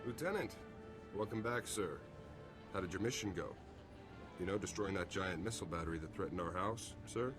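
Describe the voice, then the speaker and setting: Game army captain, very low voice, casual, wry and loyal, music in the background. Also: male voice, animated, moderate pace. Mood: wry, upbeat. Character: easygoing, loyal military captain, male. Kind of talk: video game cutscene acting. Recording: game soundtrack music behind.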